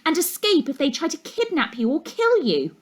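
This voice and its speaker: Woman voicing a high-pitched prim schoolgirl. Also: huge pitch swings, moderate pace. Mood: prim, disapproving. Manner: prim, emphatic. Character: prim, know-all schoolgirl.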